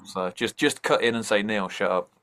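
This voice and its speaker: A man with an English accent, a band frontman chatting as a guest, talkative and quick to joke at his own expense. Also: fairly low pitch, a bit bright, clean tone. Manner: joking, talkative, self-mocking. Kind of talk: casual interview chat.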